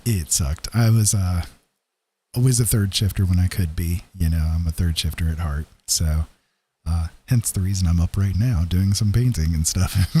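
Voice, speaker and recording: A man chatting casually with live stream viewers in an easygoing, rambling way, halting with frequent pauses. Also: low-pitched, warm tone, slightly rough. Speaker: live streamer, digital painter and storyteller.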